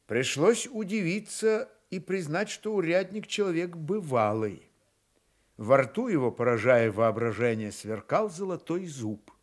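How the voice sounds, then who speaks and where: An actor narrating a dramatised historical novel for radio, measured and wry, with deadpan irony. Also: male voice, on the high side. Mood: wry narration.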